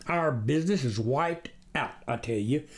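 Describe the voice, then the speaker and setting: A man's voice: mid-range voice, moderate pace, natural voice. Man doing a rustic, rambling country farmer. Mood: despairing. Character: bald, gullible, fretful old-fashioned country farmer. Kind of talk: storybook character reading.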